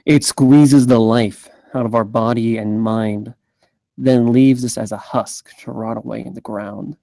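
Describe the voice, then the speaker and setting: Man acting a mellow, brooding noir investigator. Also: medium pitch, fairly even intonation, a little slow, vocal fry, no echo. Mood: bitter, brooding. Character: weary hard-boiled male investigator rabbit, brooding and cynical. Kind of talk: scripted table read, noir monologue.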